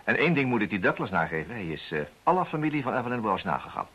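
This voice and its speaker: Shrewd detective in radio drama, quick and lively, raspy husky voice, insistent. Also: male voice, on the low side, exaggerated voice. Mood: explaining. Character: brisk, urgent male detective, insistent and shrewd. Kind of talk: radio play acting.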